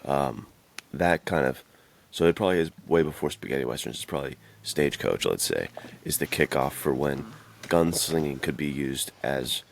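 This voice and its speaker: Very deep, gravelly-voiced man chatting. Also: expressive, normal speed, hardly any pauses, slight background noise. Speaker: podcast co-host. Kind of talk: casual podcast discussion.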